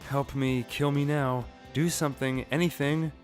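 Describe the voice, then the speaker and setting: A man's voice: mid-range voice, steady tone, normal speed, voice pushed up, lightly acted. Man voicing an anxious teenage girl. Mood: quiet desperation. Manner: plaintive. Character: anxious, self-doubting teenage girl student. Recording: music bed behind the voice.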